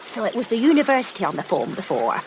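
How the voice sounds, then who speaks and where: An actress playing a blunt, sardonic gift shop worker in a radio sitcom. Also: female voice, mid-range voice, sing-song, fast talker, vocal fry, noisy background.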